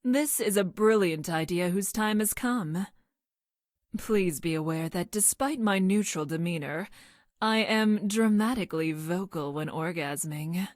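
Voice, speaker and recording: An actress voicing a coldly clinical scientist from the future in a video game, with deadpan, formal, precise diction. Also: female voice, lowish voice, unhurried, no room sound, clean studio sound, natural voice. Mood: deadpan, matter-of-fact. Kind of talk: scripted video game character lines.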